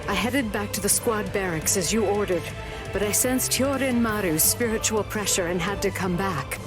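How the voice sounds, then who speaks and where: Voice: medium pitch, normal speed, close to an everyday voice, rough recording. An actor voicing a dutiful, resolute young officer in an anime game dub, formal and firm. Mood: firm, apologetic. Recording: music behind voice.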